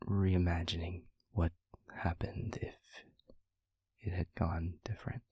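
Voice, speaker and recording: A man playing a gentle, weary demon in an intimate audio roleplay, with a soft, halting, breathy delivery that often nears a whisper. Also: deep voice, flat delivery, no echo, heavily acted. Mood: flustered, evasive. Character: gentle, weary male demon, tender and guarded.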